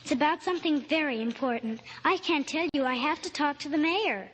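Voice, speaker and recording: High-voiced, earnest little girl. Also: a little fast, a bit bright, big-room sound. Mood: earnest, insistent. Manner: polite, insistent, simple childlike speech. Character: little girl, earnest, pleading, tearful over her lost dog. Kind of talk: old-time radio drama acting. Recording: old radio recording with faint hiss.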